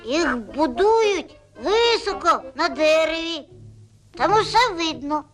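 Tiny high-pitched cartoon owlet. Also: animated, slow, fairly bright tone, heavily acted. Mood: eager, bossy. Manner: childlike, simple, eager. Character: small naive, curious young owlet, bold and helpful. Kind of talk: Soviet animated film dialogue. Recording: old film soundtrack with music.

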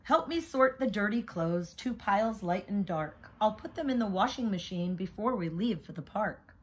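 Woman reading as a brisk, firm mother. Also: medium pitch, lively intonation, breathy edge. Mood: brisk, instructive. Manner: giving orders, coaxing. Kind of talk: picture-book character reading.

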